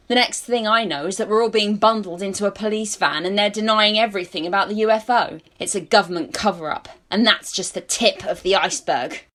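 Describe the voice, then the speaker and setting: Eccentric hippie woman played by an actress, mid-pitched, dreamy and rambling, dead earnest conspiracy believer, radio play. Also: a bit bright, close to an everyday voice. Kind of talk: amateur radio play acting.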